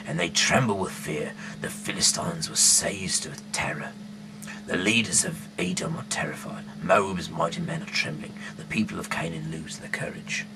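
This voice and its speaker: A man with a deep, often hushed voice reads scripture aloud informally, with halting, casual asides. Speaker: host reading the Bible aloud. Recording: noisy amateur recording with hum.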